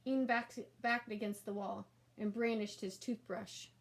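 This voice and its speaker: A woman narrating a children's storybook aloud in an animated, expressive and emphatic way. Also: medium pitch, unhurried, vocal fry.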